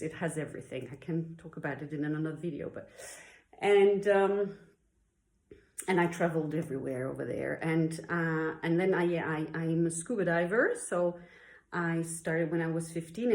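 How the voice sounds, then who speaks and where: Chatty lower-pitched woman in echoey room. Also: a little mellow. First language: not English. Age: middle-aged. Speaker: English teacher and video presenter. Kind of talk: casual personal storytelling vlog. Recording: roomy, echoey indoor sound.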